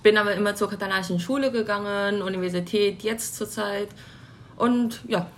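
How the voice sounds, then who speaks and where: A woman in her twenties, a guest on an interview podcast, speaking at her home in a relaxed way, laughing lightly, with some fillers. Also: medium pitch, fairly quick, a little hiss or hum. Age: 20s. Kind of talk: casual interview chat. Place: her home in a city flat.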